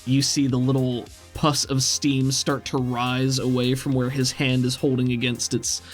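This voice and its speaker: Male game master, medium pitch, vivid descriptive narration, casual asides, tabletop game, background music. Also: level tone, normal speed.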